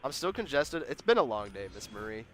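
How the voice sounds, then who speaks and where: A man's voice: medium pitch, sing-song, a little fast, fairly bright tone, a little hiss or hum. A man hosting a livestream, chatting casually and rambling while reading the chat aloud, congested and nasal. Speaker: livestream host.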